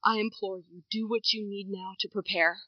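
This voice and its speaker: A woman's voice: medium pitch, fairly even intonation, normal speed, no echo, close to an everyday voice. A woman playing an earnest leader giving a formal, imploring wartime public address. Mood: grave, imploring. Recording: dull, dry, band-limited broadcast sound.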